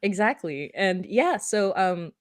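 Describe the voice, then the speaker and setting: A woman's voice: medium pitch, animated, takes it slowly, no echo, studio quality. A woman hosting a casual podcast conversation, talking in a chatty, informal way sprinkled with "like". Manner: chatty and informal, peppering sentences with "like". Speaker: host.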